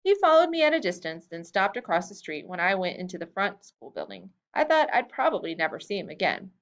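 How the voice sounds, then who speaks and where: A woman reads a first-person story aloud, her pitch moving widely as she gives the quoted lines more lift. The recording sounds a little dull and lo-fi. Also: fairly quick. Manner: expressive reading that lifts pitch for quoted lines. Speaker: reader. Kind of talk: storybook reading of a memoir-style tale.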